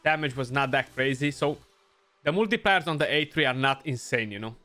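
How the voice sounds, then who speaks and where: A man's voice: mid-range voice, animated, a little fast, pushed and bright, faint music behind. A young man with a Romanian accent giving casual gameplay commentary, thinking aloud and often adding "you know". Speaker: gaming YouTuber.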